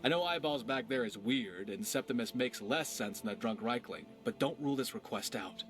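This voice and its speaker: A roguish pirate companion from a fantasy video game, acted by a man, with a medium-pitched voice and a wry, musing way of talking. Faint music plays behind him. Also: expressive, brisk, fairly bright tone, lightly acted. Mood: wry, persuasive. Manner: wry, conversational, philosophical. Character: roguish, witty pirate adventurer companion, male.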